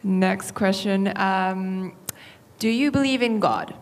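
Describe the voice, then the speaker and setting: A woman putting short, simple questions in a live Q&A, with a halting delivery. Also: medium pitch, slight background noise. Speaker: actress putting questions at an event. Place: indoor event venue with audience. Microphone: event microphone.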